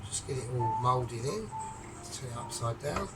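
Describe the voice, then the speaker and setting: A man's voice: medium pitch, animated, moderate pace. A man chatting his way through a cooking tutorial, explaining each step with joking asides. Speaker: home cook. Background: music.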